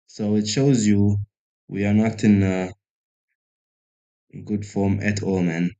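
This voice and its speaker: A man from South Africa talks through his chess moves at a slow, unhurried pace, his voice sitting fairly low. He thinks aloud, makes fun of his own play and stops to greet people in the chat. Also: steady tone, warm tone, highs cut off. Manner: thinks aloud slowly, chatty with viewers, self-deprecating. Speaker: chess streamer. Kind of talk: casual live-stream commentary.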